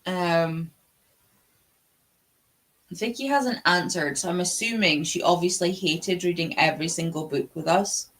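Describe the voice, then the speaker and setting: Bright-voiced woman gushing in casual chat. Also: fairly low pitch, unhurried, pauses often, a little hiss or hum. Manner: gushing, sweary, enthusiastic fan talk. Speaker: book club live show host. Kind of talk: casual chat about books.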